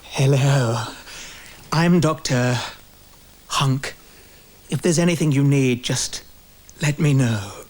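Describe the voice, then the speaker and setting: An actor playing a smooth, self-assured hospital doctor in a comedy sketch. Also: male voice, mid-range voice, slow, long pauses, darkish tone. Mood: flirtatious. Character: smooth-talking, flirtatious hospital doctor on his rounds.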